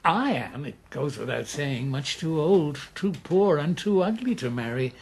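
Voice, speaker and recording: Roguish old Irish schemer of a radio play. The voice is gravelly and rough-edged, rising and falling freely. He coaxes and wheedles with wry relish. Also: on the high side, expressive, a little slow, darkish tone, heavily acted. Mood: wry, persuasive. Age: older. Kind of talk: radio drama acting.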